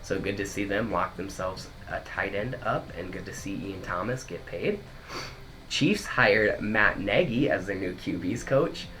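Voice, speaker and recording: A man's voice: low voice, measured pace, bright, forceful tone, slight background noise, rough recording. A young man co-hosting a casual sports podcast, his voice congested from a head cold, chatting his way through a news rundown. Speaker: podcast co-host.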